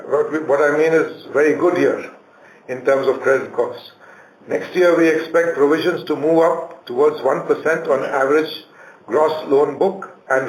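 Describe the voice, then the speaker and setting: A man with an Indian accent giving a prepared corporate presentation as an executive on an earnings call. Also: a little high. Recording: roomy conference line with faint noise.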